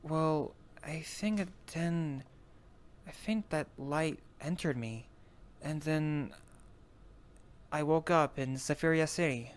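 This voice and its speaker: A man playing an easygoing, unsure adventurer in an improvised online roleplay, talking casually and haltingly. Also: on the high side, smooth. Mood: pensive. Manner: hesitant, halting, searching for words. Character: easygoing, unsure young adventurer with an elemental bracelet. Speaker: roleplay player. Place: virtual reality voice chat.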